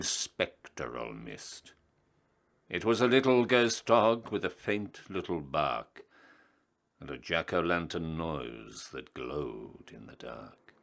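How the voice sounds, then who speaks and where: Slow, deep man telling stories. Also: gravelly, a bit bright. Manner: theatrical, measured rhyming declamation with strong emphasis. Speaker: storyteller, town crier. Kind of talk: dramatic storybook verse narration.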